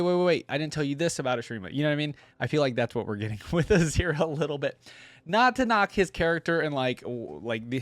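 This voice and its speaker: A man's voice: medium pitch, no room sound. A man hosting a reaction video, talking casually in a chatty, rambling and informal way. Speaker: host of a game lore reaction channel. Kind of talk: casual reaction commentary to camera.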